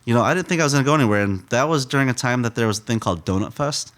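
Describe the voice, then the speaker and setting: A man in his thirties, a donut shop owner, telling his story in a relaxed, rambling way with lots of fillers. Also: medium pitch, rapid-fire. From United States. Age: 30s. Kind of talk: casual interview storytelling.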